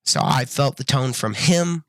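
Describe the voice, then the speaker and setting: A young man reviewing a show to camera in a casual, earnest way, full of ums and restarts, his voice a little raspy from being sick. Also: medium pitch, measured pace, dry recording, clean studio sound. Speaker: YouTube reviewer.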